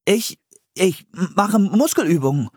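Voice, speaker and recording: A man voicing an earnest, clumsy young boy with an excitable, anxious manner in a children's audiobook. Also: sits high, slow, slightly rough, no room sound, clean studio sound, pitched above the performer's own voice, full character voice. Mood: sheepish.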